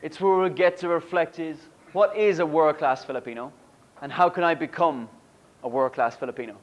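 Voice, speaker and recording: A man giving a motivational workshop speech in an emphatic, earnest manner, deliberately repeating key lines. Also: fairly high pitch, muffled recording. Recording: music behind the voice.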